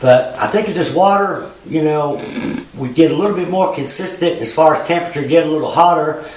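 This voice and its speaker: A man's voice: a little high, brisk, a little hiss or hum. A man in his fifties with a Missouri Ozarks accent, giving a casual, rambling fishing report full of "you know" and "uh". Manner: plain-spoken, rambling, frequent "you know" and "uh". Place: garage with a bass boat, indoors. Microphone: far camera. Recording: roomy garage echo, distant mic.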